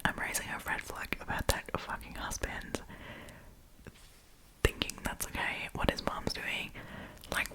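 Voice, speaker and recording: A woman whispering breathily as an ASMR host, reading stories and commenting in a chatty, opinionated, informal way. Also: low-quality recording.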